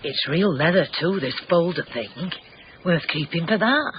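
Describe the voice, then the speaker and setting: An actress playing a curious, plain-spoken working-class Yorkshire wife, chatty and down-to-earth, in a naturalistic radio drama. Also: female voice, on the low side, lively intonation, unhurried. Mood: curious, wistful. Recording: dull radio recording with some background noise.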